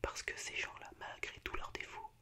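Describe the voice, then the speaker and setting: A man speaking in a breathy, unvoiced whisper as an ASMR host, unhurried and a little hesitant. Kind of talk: whispered ASMR, reciting film monologues.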